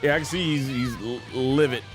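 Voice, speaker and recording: A man's voice: mid-range voice, brisk. A man giving casual live commentary as a gaming streamer. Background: game music.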